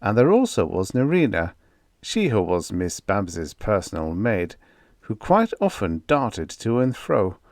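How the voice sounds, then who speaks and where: A man reading a vintage science fiction story aloud as an audiobook narrator, in a measured storytelling delivery. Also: on the low side, big pitch swings, a little mellow, no echo, clean studio sound.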